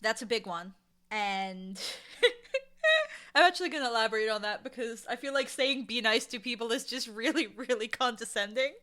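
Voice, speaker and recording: A woman talking casually and with opinions as an interview guest, animated and acting out quotes to make her points. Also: a little high, moderate pace. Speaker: audio drama showrunner, interview guest.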